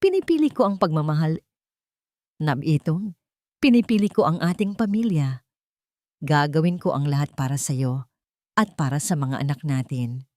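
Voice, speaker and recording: A woman's voice: deep voice, animated, normal speed, long pauses, a little mellow, dry recording. A woman voicing a tender, devoted young mother and bride making earnest, emotional declarations in a romance drama.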